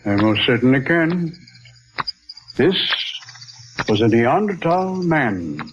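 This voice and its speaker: An actor playing a learned, courteous scientist in 1940s radio drama, speaking in a formal, deliberate, lecturing manner. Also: male voice, mid-range voice, sing-song. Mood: assured, lecturing. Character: learned, courteous male scientist, solemn and assured. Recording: muffled, noisy 1940s radio recording.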